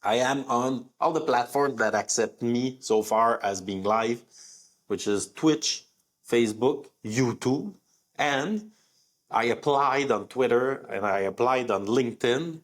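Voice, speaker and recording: A man's voice: medium pitch, slow. A young man who speaks French as his first language, with a French accent, talking casually on his live podcast with many fillers. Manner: conversational, many fillers, rising emphasis on key words. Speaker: podcast host.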